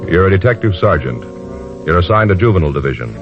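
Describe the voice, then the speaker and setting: A man's voice: deep voice, big pitch swings, fast, over music. An American man announcing in scripted 1950s radio style, with an earnest, declarative delivery. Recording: dull vintage radio broadcast recording.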